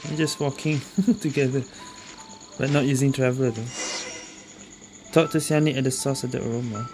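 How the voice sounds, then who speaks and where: A woman gamer with a very low voice comments casually on gameplay. Game music plays clearly behind the talk. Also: steady tone, moderate pace.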